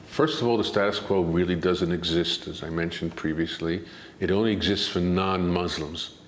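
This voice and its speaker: An older man with a low voice, speaking as an interview guest. He answers in a measured way and presses his arguments home with emphasis. The room gives his voice a clear echo. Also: steady tone, slight background noise. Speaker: guest expert.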